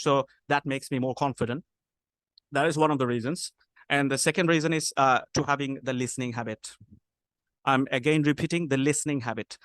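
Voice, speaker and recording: A man's voice: mid-range voice, a bit bright, dry recording, clean studio sound. A man from Bangladesh with a Bangladeshi English accent, giving spoken feedback as an IELTS speaking coach, with many hesitant fillers.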